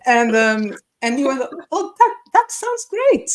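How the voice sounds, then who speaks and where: A woman telling an anecdote casually in a book discussion, haltingly, repeating words as she goes. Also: high-pitched, sing-song, slight background noise.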